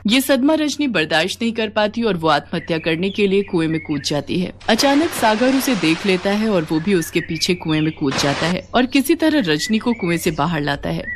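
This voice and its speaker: A woman's voice: fairly low pitch, animated, moderate pace, few pauses, noisy background. A woman narrating a scripted moral story as a storyteller.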